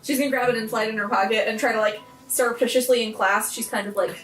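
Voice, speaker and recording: A woman's voice: on the high side, steady tone, fast talker, fairly bright tone, soft background music, rough recording. A woman chatting casually as a player at a tabletop game.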